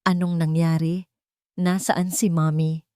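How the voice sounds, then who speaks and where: A woman's voice: lowish voice, flat delivery, a little slow, dark tone, smooth, dry recording, close to an everyday voice. Woman doing a rich dad in an audio drama, bossy, worried sick, urgent, dry sound. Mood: gentle worry. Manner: urgent, demanding. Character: commanding, anguished billionaire father, worried and angry.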